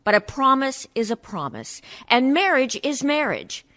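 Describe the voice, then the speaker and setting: A woman playing a proud, dutiful dead wife, speaking with pointed dignity and quiet scorn in a radio-play monologue. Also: high-pitched, sing-song, measured pace, full character voice. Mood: proud, resolute.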